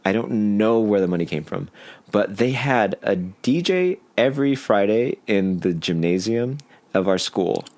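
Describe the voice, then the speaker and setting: A man whose first language is Spanish, a podcast guest chatting casually and telling stories in animated, slangy talk full of filler "like". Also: lowish voice, measured pace. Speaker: podcast guest, singer and songwriter. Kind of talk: casual chat.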